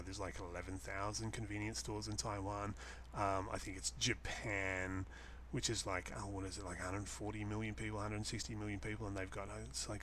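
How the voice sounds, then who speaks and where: A man with an Australian accent giving a casual, unscripted explanation to a webcam in a halting, rambling way, repeating phrases for emphasis. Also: deep voice, fairly bright tone, a little hiss or hum. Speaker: host answering a viewer's question, online seller. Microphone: webcam setup.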